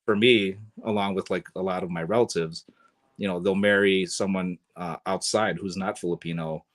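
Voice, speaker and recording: A man speaking as a guest on a podcast, relaxed and chatty, leaning on lots of fillers. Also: low-pitched, moderate pace. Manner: relaxed, filler-heavy, sprinkled with "you know". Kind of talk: casual conversation.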